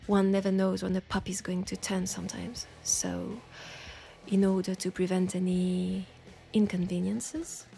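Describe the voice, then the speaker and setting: A woman's voice: medium pitch, little pitch movement, unhurried, vocal fry, dark tone, soft background music. A woman with a French accent playing a teasing, nurturing witch who tames werewolves, chatting playfully and coaxingly to the listener in an audio roleplay. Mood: chatty, reassuring. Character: teasing, nurturing female witch, self-styled werewolf tamer.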